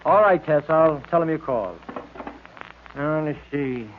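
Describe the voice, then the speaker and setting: Higher-voiced detective sidekick, tinny radio. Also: male voice, lively intonation, measured pace. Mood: genial. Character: genial, plain-spoken male detective sidekick. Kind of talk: 1940s radio acting. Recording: tinny noisy old radio recording.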